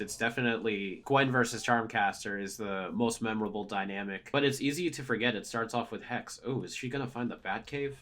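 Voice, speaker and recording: A man with a fairly low voice reviews what he is watching. He talks casually and conversationally, reasoning out loud as he goes. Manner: conversational, thinking aloud as he watches. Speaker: host, cartoon reviewer. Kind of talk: casual reaction commentary.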